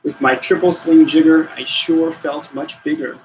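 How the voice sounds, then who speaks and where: A man reading a children's story aloud with theatrical, deliberate emphasis. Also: on the high side, steady tone, slight background noise, poor audio. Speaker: storybook reader.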